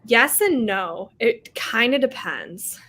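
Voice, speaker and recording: A woman hosting a book livestream, chatting casually with friends in an animated, giggly way. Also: fairly high pitch, takes it slowly. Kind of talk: casual group chat.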